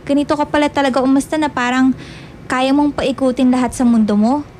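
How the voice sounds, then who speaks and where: A woman's voice: sits high, steady tone, a little fast, darkish tone, a little hiss or hum, close to an everyday voice. A woman playing an earnest, scolding young female student in a radio drama, speaking with a Filipino accent and mixing in English. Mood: annoyed, scolding. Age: young adult. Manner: indignant, mixes Tagalog and English. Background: faint music.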